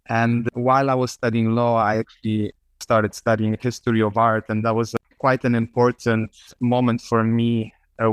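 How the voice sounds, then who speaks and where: Low, flat-voiced man from Poland. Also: clean tone, no echo. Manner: reflective, measured, with fillers and restarts. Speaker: guest artist. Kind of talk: interview answers. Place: remote call from abroad.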